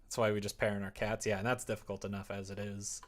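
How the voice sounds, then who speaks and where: A creaky-voiced livestreamer chatting casually and thinking aloud while coding, rambling, muttering and a little self-deprecating. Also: deep voice, level tone, fast talker. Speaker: livestreamer making a game.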